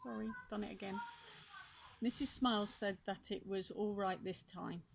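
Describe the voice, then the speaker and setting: Older lady reading a kids book out loud, expressive n chatty, noisy background w clicks. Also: mid-range voice, moderate pace. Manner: expressive, gently chatty, sympathetic asides. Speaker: grandmother reading a children's book. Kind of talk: read-aloud storytelling with asides to young listeners. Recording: clear background noise and clicks.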